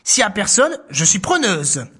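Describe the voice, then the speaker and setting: A woman voicing a small excited female mouse in a children's story, with a husky, eager voice. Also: medium pitch, moderate pace, full character voice. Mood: delighted. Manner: eager, chirpy, welcoming.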